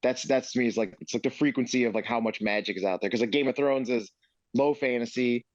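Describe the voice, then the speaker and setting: A man's voice: medium pitch, fast, no echo, lo-fi sound. A man co-hosting a podcast in casual chat, swearing freely and stumbling through restarts. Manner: loose, profane, stammering restarts. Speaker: podcast co-host.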